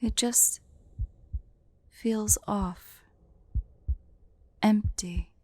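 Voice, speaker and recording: A woman voicing a proud, teasing tsundere dragon in human form for an ASMR roleplay, soft and haughty. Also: medium pitch, very slow, slight background noise. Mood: troubled, confiding. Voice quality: soft, mellow.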